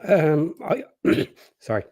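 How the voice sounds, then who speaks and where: A hoarse man joining a football podcast as a guest, speaking in a measured, hesitant way with many pauses. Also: on the high side, big pitch swings, a little mellow, no echo, clean studio sound. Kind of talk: casual chat.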